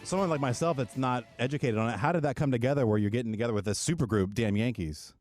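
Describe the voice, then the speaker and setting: A man's voice: mid-range voice, fast talker, darkish tone, faint music behind. Man in his thirties hosting. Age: 30s. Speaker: podcast host. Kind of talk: casual interview.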